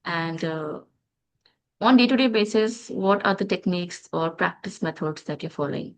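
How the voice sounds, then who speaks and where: A woman with an Indian accent hosting an English-learning channel, interviewing in a measured, encouraging, teacherly way. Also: on the low side.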